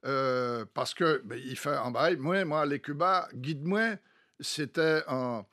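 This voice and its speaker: A man with a Martinican accent, telling history in casual discussion, halting yet emphatic, slipping Creole into his French. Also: a little high, bright, forceful tone. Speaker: studio guest.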